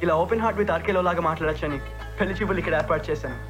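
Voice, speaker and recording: Actor as jokey, eager man seeking a bride; quick, swinging delivery under loud film music. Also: fairly high pitch, natural voice. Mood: eager, chatty. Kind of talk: comic film dialogue.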